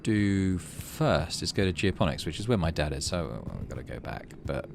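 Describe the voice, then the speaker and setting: A man's voice: low voice, big pitch swings, normal speed, gravelly, slight background noise. A man giving casual gaming commentary, reading game text aloud and muttering as he thinks. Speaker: let's play host.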